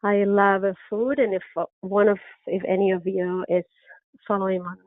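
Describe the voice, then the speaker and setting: A woman's voice: mid-range voice, level tone, moderate pace, no echo. A middle-aged woman from Argentina with an Argentine Spanish accent, a native Spanish speaker, presenting a webinar as an orthodontist in an earnest, enthusiastic way with frequent ums and restarts. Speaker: orthodontist lecturer. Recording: dry, tinny phone-like webinar audio.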